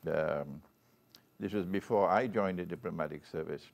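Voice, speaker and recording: An older man with a Trinidadian accent hosts an interview show, speaking in a formal, measured and deliberate manner. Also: sits low, creaky. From Trinidad and Tobago. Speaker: talk show host. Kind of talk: formal guest introduction and interview.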